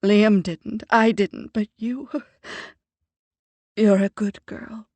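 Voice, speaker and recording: Woman voicing a dignified older Jamaican mom, formal and commanding, husky, slow storybook delivery. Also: mid-range voice, steady tone, darkish tone, no room sound, pitched above the performer's own voice. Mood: earnest. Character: proud composed older Jamaican mother. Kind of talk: audiobook character voice.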